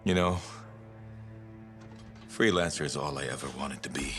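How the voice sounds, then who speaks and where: An actor playing a stubborn, hot-headed veteran fighter and tinkerer in a video game, gruff, exasperated and emphatic. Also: male voice, a bass voice, normal speed, fairly bright tone, faint music behind. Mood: bitter. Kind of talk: dramatic video game cutscene acting.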